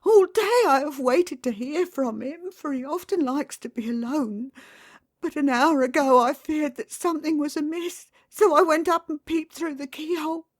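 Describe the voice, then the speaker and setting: A woman voicing a frightened old housekeeper who pleads in a high, quavering voice. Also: moderate pace, dry recording, studio quality, natural voice. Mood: distraught.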